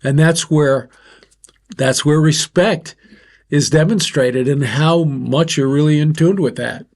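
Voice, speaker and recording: An older man hosting a group discussion, who talks loudly and lays out his points one by one like a teacher. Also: a little high, slow pace. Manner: loud, instructive, counts points off. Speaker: host. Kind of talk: discussion facilitator.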